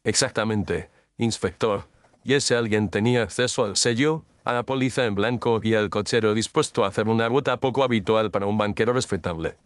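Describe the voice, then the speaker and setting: A Victorian detective, played by a man in an audio mystery. The voice is on the low side, recorded very dry. He is composed and incisive, setting things out in formal, deliberate speech. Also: smooth, no echo, close to an everyday voice. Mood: assured. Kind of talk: Spanish audio drama mystery acting.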